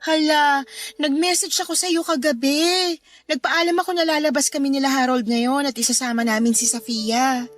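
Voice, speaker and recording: Casting: actress for young Filipino mother, high voice, casual, bossy and pleading by turns, radio drama over soft music. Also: fairly even intonation, moderate pace, no room sound, natural voice. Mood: surprised. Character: exasperated young mother, brisk, bargaining with her ex. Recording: music under the voice.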